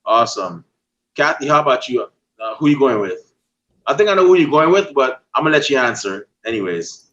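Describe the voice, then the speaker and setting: A man's voice: medium pitch, fairly quick, highs cut off. Laid-back Guyanese man hosting a podcast. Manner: relaxed, laid-back, uses y'all. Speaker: podcast host. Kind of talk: casual chat.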